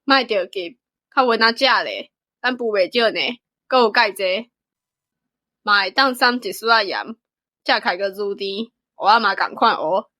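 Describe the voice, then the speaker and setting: Radio drama villager: high, bright, dry-recorded youngster, friendly, caring and reassuring. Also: male voice, moderate pace, no echo. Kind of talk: radio drama dialogue in Taiwanese Hokkien.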